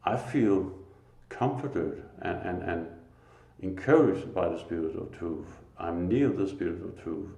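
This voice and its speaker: A man with a Danish accent giving a slow, earnest, informal spiritual talk, pausing often. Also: sits low, vocal fry, warm tone. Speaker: lay preacher. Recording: echoey room.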